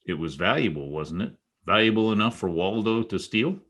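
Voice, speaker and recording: A man's voice: fairly low pitch, expressive, normal speed, fairly bright tone. An American man playing a tough, wry private detective in a 1940s radio noir style, clipped and slangy. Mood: pressing, wry. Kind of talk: 1940s radio noir acting with first-person narration.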